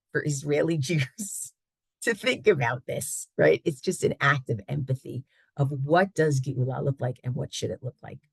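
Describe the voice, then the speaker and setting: Woman teacher on a video call, low gravelly voice, lively, checks in with "right?". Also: dry recording. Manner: lively, asks "right?" often. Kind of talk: online Torah class.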